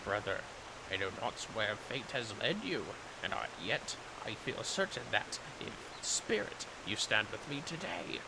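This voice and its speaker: A man voicing a solemn, honorable warrior burdened by guilt, speaking gravely in formal, archaic phrases as fantasy game dialogue. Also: lowish voice, sing-song, unhurried, noisy background, exaggerated voice. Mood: earnest resolve. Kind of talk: dramatic read-aloud of fantasy game dialogue. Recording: prominent music behind the voice.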